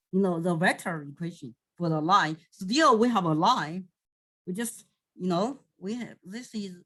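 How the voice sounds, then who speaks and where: Slow-speaking woman with a Chinese accent. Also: fairly high pitch, a bit bright, poor audio. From southwest China. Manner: slow, halting, thinking aloud, self-correcting. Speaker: math lecturer. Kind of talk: recorded lecture over a digital whiteboard.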